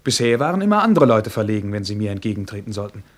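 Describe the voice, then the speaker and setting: An actor playing a shy, embarrassed young prince in a radio play, speaking formally and hesitantly. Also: male voice, fairly low pitch, big pitch swings, racing. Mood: flustered, formal. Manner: formal, hesitant, self-conscious. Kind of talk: German radio play acting.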